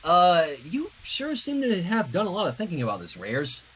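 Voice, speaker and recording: A man reading fan fiction aloud on a livestream, mixing animated narration with casual asides. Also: high-pitched, normal speed, a little hiss or hum.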